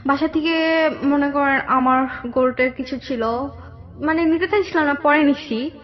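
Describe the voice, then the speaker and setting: A young woman with a Bangladeshi accent telling a personal story conversationally, haltingly, often circling back over details. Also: high voice, fairly even intonation, muffled recording. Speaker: guest telling her life story.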